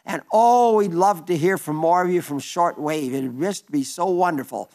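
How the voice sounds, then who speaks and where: An elderly male radio preacher with a slightly high voice, reading letters from listeners in a homespun sermon. He talks in a chatty, easygoing way with little stumbles and asides. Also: normal speed. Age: older. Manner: chatty and warm-hearted, with small stumbles and asides.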